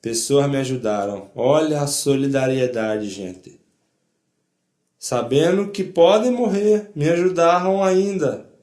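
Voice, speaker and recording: An older man with a foreign accent in Portuguese, giving spoken testimony in a deliberate, emphatic way with short repeated phrases. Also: on the high side, darkish tone, echoey room. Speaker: Holocaust survivor giving testimony. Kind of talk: spoken first-person testimony to an audience.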